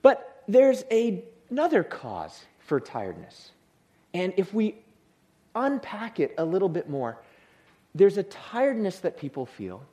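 A male pastor giving a sermon in church, with a soothing voice and a humorous, lively manner of delivery. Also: high voice, unhurried. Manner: lively, humorous, acts out tired people.

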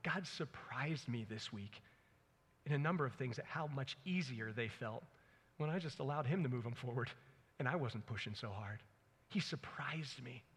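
A man's voice: medium pitch, expressive, moderate pace, breathy edge. A man in his forties preaching a sermon in an earnest, conversational way, with personal stories. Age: 40s. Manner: earnest, conversational, dramatizes his own thoughts. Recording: echoey hall with long reverb.

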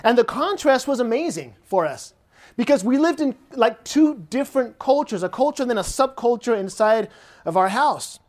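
A middle-aged American pastor preaching a Sunday sermon in a church, conversational and repetitive in phrasing. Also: male voice, extremely high pitch, lively intonation, moderate pace. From United States. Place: church sanctuary.

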